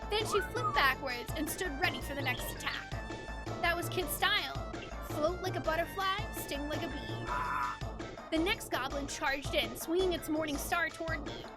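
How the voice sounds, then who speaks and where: A woman's voice: high-pitched, lively intonation, moderate pace. A woman streamer reading a game's story aloud expressively and chatting casually about her playthrough. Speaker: streamer, narrator of a game playthrough. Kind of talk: expressive storybook-like game narration with casual chat. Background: loud game music.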